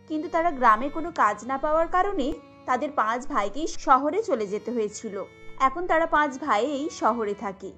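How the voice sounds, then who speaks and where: High-pitched woman narrating a cartoon story. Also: muffled recording.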